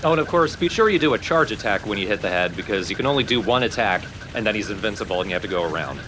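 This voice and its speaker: A man's voice: lowish voice, animated, very fast, noisy background. A man explaining a video game as he plays, casually and with a dry, jaded edge. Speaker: let's play commentator. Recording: loud game sound behind voice.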